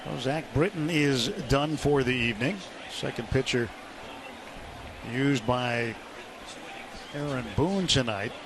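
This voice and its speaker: A man doing live radio play-by-play baseball commentary in measured, short phrases with pauses, as the announcer. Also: mid-range voice. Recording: clear stadium noise behind. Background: outdoor ballpark ambience.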